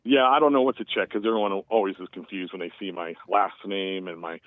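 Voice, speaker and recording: A man's voice: sits low, fast, dry recording. Easygoing man in his fifties on a phone line. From United States. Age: 50s. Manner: easygoing, rambling with fillers. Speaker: caller. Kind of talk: casual phone call.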